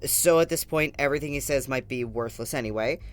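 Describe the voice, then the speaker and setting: A man's voice: medium pitch, a little fast, lightly acted. An actor playing a male police officer in an audio drama, speaking to a colleague in a hesitant, apologetic manner. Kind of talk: naturalistic audio drama acting.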